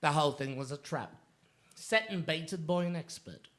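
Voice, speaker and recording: A man's voice: fairly high pitch, normal speed, fairly bright tone, lightly acted. An actor playing a deferential, uneasy criminal henchman in a radio drama, hesitant and subservient. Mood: deferential report.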